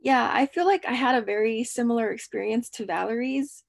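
Gravelly native Spanish-speaking woman on a call. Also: on the high side, brisk, no echo, studio quality. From United States. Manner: casual, friendly, "um" fillers. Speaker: student panelist. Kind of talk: video call panel answer. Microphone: video call.

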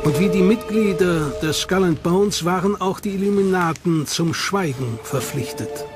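A man narrating a documentary in a measured, dramatic manner. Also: high-pitched. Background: music.

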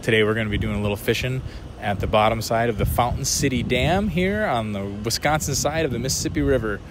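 Chatty excitable guy fishing by the river, mid pitch, loud outdoor noise on his cam mic. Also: fast talker. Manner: upbeat, excitable, chuckling. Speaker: fishing vlogger. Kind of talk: casual outdoor vlog narration. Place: outdoors on a rocky riverbank below a dam. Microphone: body-worn action camera. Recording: noisy outdoor camera audio. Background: passing train, wind and outdoor noise.

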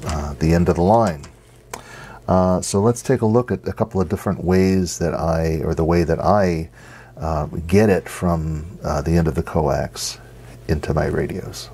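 A middle-aged man, giving a casual hands-on demonstration in a halting, unscripted way. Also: a bass voice, gravelly, warm tone. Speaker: radio hobbyist presenter. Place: basement radio workshop, indoors. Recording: noisy home basement recording.

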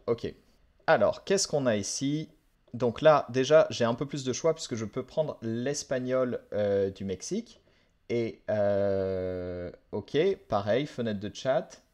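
A man's voice: medium pitch, darkish tone. Chatty French man reviewing apps. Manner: chatty, frank, thinks aloud with many fillers. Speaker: language teacher. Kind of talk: casual app review vlog. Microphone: camera mic.

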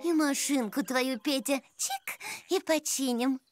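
A woman voicing an earnest, gently bossy young female zebra in a children's cartoon. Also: high voice, measured pace. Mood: earnest, caring. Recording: music behind the voice.

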